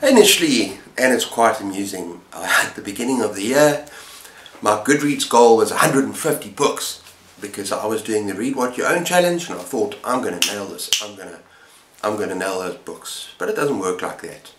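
A man's voice: lowish voice, expressive, moderate pace, fairly bright tone. A middle-aged man chatting casually as the host of a book-review vlog. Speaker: booktuber host. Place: indoor room lined with bookshelves. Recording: roomy, echoey indoor sound.

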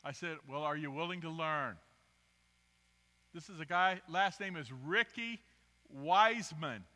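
Older male preacher, bright voice, reverberant church. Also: on the high side, measured pace. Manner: rambling, self-deprecating, swings from loud emphasis to quiet asides. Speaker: guest preacher. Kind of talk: storytelling sermon. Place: church sanctuary, large indoor room. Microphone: podium microphone, filmed from the pews.

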